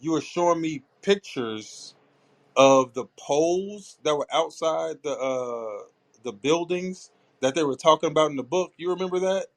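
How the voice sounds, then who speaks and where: A man's voice: fairly high pitch, expressive. Loose, joking podcast host, a man. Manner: reads passages aloud haltingly. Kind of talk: casual chat.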